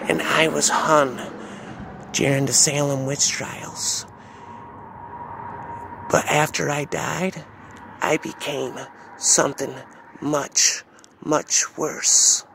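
A man's voice: fairly high pitch, steady tone, very slow, vocal fry, pauses often, noisy background, heavily acted. A man voicing a deranged homeless witch, a lewd and menacing female succubus, in an improvised-sounding horror tale. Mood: sinister, hushed. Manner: cackling, wheedling, demanding.

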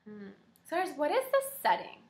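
A young woman teaching kindergarten, reading aloud and prompting children with animated, encouraging questions. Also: sits high, normal speed. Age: young adult. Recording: echoey indoor room.

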